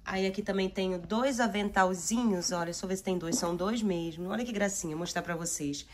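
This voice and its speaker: A woman's voice: medium pitch, brisk, slight background noise. A middle-aged woman, chatting casually to her viewers while organizing her kitchen drawers. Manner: chatty, addresses viewers as "gente", uses many diminutives. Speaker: home organizing vlogger. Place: home kitchen.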